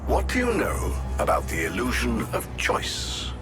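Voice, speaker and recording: An actor playing a cold, superior male villain who speaks in a measured, sinister way in a video game, over music. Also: fairly low pitch, huge pitch swings. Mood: menacing.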